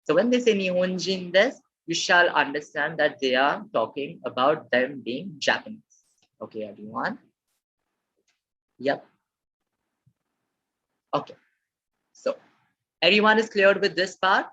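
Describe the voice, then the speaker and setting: A woman teaches Japanese in a live online class. Her voice is slightly husky, and she repeats words slowly and keeps asking the chat for replies. The webinar audio sounds dull and muffled. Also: low voice, expressive, a bit bright. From Maharashtra, India.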